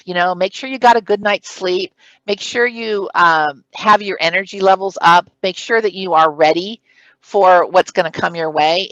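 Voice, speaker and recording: A woman's voice: fairly low pitch, fairly bright tone. A woman at a conference session giving interview advice in a strong, projected voice. She stresses her points firmly and counts them off one by one. The sound is close and dry, with no room echo. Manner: projected, emphatic, speaks in numbered points. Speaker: conference presenter. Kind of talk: recorded conference talk giving career advice.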